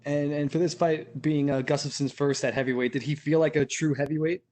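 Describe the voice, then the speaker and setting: A man's voice: fairly high pitch, fairly even intonation, fairly quick, darkish tone. A male reporter asking a fighter questions, slightly stumbling at the start. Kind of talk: press conference question.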